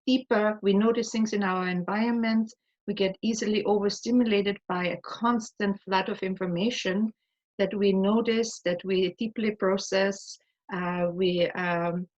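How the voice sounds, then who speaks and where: A woman from Austria hosting and guiding a tapping session, speaking calmly with frequent fillers. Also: mid-range voice. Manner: calm, measured, with many fillers. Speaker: host, EFT practitioner. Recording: slightly dull lo-fi audio.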